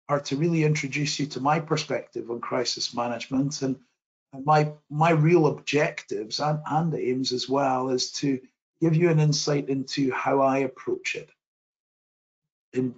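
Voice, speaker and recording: A middle-aged man giving an online presentation in a modest, measured way, often restarting his sentences. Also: medium pitch, dull audio. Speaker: webinar presenter.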